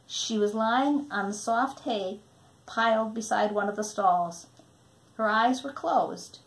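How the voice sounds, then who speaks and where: Female storybook narrator, mid-range voice, expressive and dramatic in delivery. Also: mid-range voice, vocal fry, poor audio. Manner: dramatic, hushing at tender or suspenseful moments. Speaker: storybook reader.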